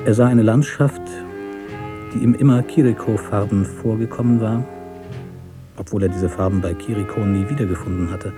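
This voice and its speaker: A man narrating a literary story for radio in a measured, deliberate way. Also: fairly low pitch, steady tone, music in the background.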